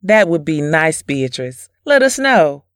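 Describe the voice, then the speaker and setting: Low-voiced, gentle young wife played by an actress. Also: female voice, sing-song, a little slow, no room sound, studio quality. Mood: kindly. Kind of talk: audio drama acting.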